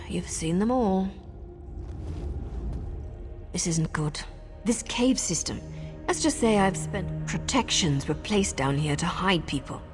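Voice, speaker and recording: A woman's voice: fairly low pitch, a little mellow, big-room sound, close to an everyday voice. An actress voicing a wry, roguish adventurer woman with a sordid past in a video game, sly, teasing and conversational. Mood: uneasy, confiding.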